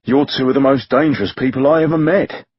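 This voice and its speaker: A man's voice: medium pitch, quick pace, natural voice. Brief: brave constable, insistent and firm, voiced by a man, dull audio.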